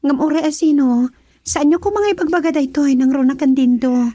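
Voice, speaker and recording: An actress plays a young Ilocano woman in a radio love drama, dutiful and wounded in love. Her voice sits high, with an Ilocano accent, recorded close and dry. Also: normal speed, warm tone, dry recording, close to an everyday voice. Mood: sorrowful.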